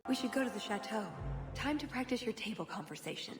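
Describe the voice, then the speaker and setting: A woman's voice: a little high, lively intonation, fast, over music, natural voice. An actress voicing a curious, breezy woman rogue companion in a video game, talking casually and inquisitively. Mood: earnest.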